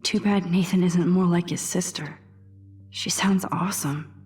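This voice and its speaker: An introspective American teenage girl sleuth in a video game, acted by a young woman, speaks her thoughts aloud in a hushed, breathy murmur. Her voice sits slightly low and moves at an unhurried, slow pace, wry and observant. Music plays underneath. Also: steady tone, lightly acted. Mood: pensive, sardonic. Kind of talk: game character thinking aloud.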